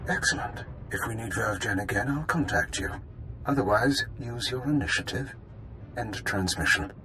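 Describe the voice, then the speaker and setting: A man's voice: lowish voice, animated, moderate pace, sharp tone, a little hiss or hum. A man playing a cold, authoritative terrorist leader with formal delivery in a scripted game cutscene. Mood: commanding.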